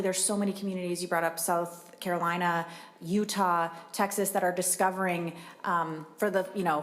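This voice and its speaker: Lower-voiced woman senator in committee. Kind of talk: legislative committee remarks.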